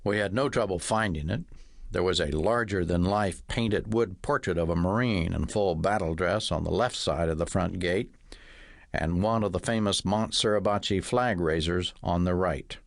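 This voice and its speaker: A man narrates a satirical novel in a fairly low voice. His delivery is measured and scripted, with dry humour. The sound is very dry, with no room around it. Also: gravelly, no echo. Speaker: audiobook narrator reading own comic novel. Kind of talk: scripted first-person narration.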